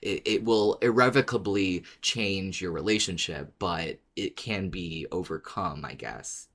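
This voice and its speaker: Guy w a lowish voice chatting on a podcast, kinda thoughtful, feels his way through sentences. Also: measured pace, fairly bright tone. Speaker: podcast co-host. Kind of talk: casual podcast discussion.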